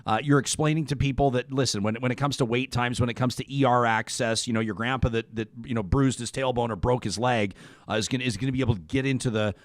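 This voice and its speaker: A man hosting a conversational interview, speaking haltingly and often repeating words as he rephrases. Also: medium pitch, steady tone, dry recording, clean studio sound. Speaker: talk show host.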